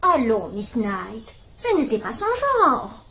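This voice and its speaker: Elderly woman sleuth, wry and probing, high lively voice; faint hiss behind. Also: takes it slowly, vocal fry, exaggerated voice. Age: older. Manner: gentle, wry, quietly probing. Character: shrewd elderly spinster sleuth, gentle and sharp. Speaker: amateur detective. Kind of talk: dubbed radio-style drama acting.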